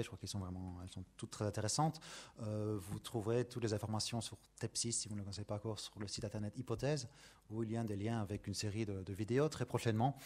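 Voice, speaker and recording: A man's voice: lowish voice, darkish tone. A man moderating an academic seminar, introducing the speakers in a hesitant way with frequent fillers. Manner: hesitant, many fillers and restarts.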